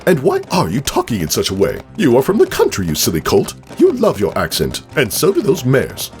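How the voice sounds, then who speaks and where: A man's voice: medium pitch, wildly swooping pitch, brisk, soft background music, voice pushed up. A man voicing a male farm pony who compulsively blurts blunt, scolding thoughts in an exasperated ramble. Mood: scolding himself.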